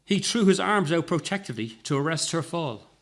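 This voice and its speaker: A man's voice: fairly high pitch, animated, brisk, a bit bright. A man narrating a scripted short story as its reader.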